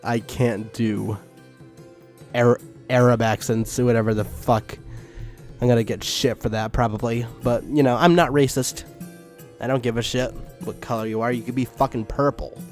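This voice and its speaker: A man's voice: mid-range voice, fairly even intonation, moderate pace, a little mellow, faint music behind. A man reading fan fiction casually with comic commentary, exasperated and given to swearing.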